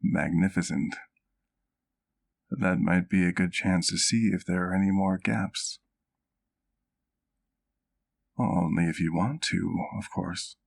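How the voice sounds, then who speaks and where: Low, creaky-voiced, genteel vampire doctor played by a man. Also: steady tone. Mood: fond, reassuring. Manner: formal, measured, old-fashioned genteel phrasing. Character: courteous, caring, scholarly male vampire doctor and keeper. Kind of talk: ASMR roleplay, intimate one-sided dialogue to the listener.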